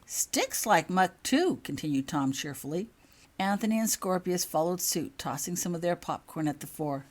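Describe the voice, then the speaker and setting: A woman narrating fiction in an expressive storybook style, reading as the author of the tale. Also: low-pitched, moderate pace.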